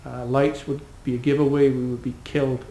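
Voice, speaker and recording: A man's voice: mid-range voice, level tone, fairly quick, warm tone. A man describing his crew's travels in a plain, matter-of-fact way. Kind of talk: casual spoken promo. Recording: echoey room.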